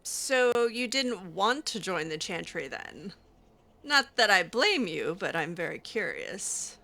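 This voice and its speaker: A woman's voice: mid-range voice, very animated, gravelly, pushed and bright, exaggerated voice. A woman voicing a curious, wry young mage in an improvised video game roleplay, talking in a hesitant, conversational way. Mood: curious, frank. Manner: hesitant, conversational, halting asides.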